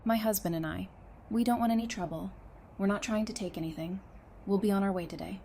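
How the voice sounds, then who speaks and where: A woman's voice: medium pitch, fairly quick, dark tone, a little hiss or hum, natural voice. A woman playing a tender but determined wife in an apocalyptic audio drama, earnest and plainspoken. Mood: wary. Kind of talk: full-cast audio drama acting.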